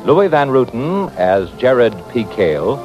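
A male American radio announcer in 1950s style. His mid-pitched delivery is animated and scripted. Music plays faintly behind, and the old broadcast sounds dull. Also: slow.

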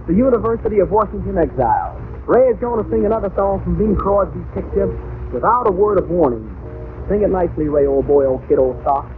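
A man's voice: high voice, slight background noise. A man hosting an old radio variety show with jokey, folksy announcing and banter. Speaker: radio show host introducing singers. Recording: old radio broadcast, narrow tinny sound, music.

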